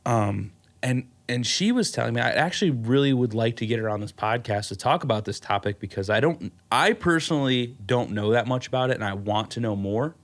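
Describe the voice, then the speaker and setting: Casting: young man, podcast host, mid-pitched, joking and blunt. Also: fairly quick. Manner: animated, laughing, blunt and joking. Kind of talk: casual chat.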